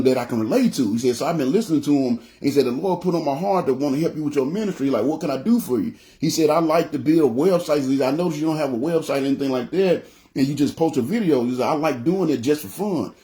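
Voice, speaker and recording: A man with a deep voice, a pastor preaching casually on a livestream, speaking in everyday slang, often asking "you know what I'm saying?" and acting out what people say. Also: fast talker, dark tone. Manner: acts out quoted lines.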